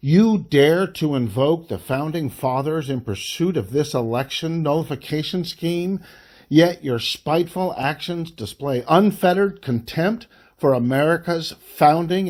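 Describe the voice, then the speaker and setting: A man reading a formal political letter aloud in an angry, indignant, emphatic voice, breaking phrases into short, deliberate pieces. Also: on the high side, a little mellow.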